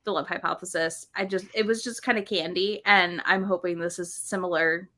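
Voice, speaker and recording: A woman chatting casually about books in an animated, conversational way. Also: lowish voice, a little fast. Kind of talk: casual book chat.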